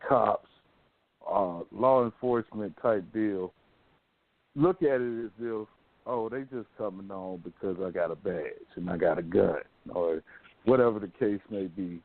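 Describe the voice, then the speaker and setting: Male radio host, American, deep-leaning voice, loose colloquial storyteller, dry but thin telephone-grade audio. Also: no echo. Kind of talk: casual call-in radio discussion. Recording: narrow phone-like sound.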